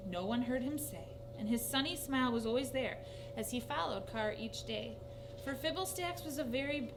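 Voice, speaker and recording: A woman with a fairly high voice reads a rhyming children's story expressively. Her delivery is theatrical and animated. The recording is noisy, with a clear hum behind her. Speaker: storybook reader.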